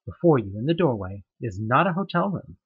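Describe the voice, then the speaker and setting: A man's voice: medium pitch, big pitch swings, brisk, husky, phone-quality sound. A man narrating a horror webcomic audioplay in the second person, telling it with tense, dramatic questions. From United States.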